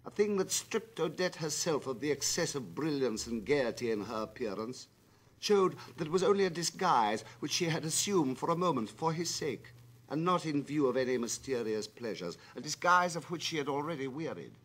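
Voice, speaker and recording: A man narrating a literary novel in a measured, expressive reading that swings between loud and hushed passages. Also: on the high side, husky. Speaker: audiobook narrator.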